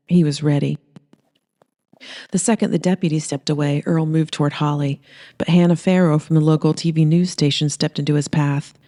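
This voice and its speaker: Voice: mid-range voice, dark tone. A narrator reading comedic horror fiction aloud as a scripted audiobook.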